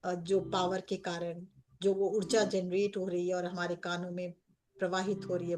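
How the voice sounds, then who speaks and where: A woman with an Indian accent leading a guided meditation as a coach, speaking in gentle, repetitive phrases with pauses. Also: on the low side, background noise. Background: background music.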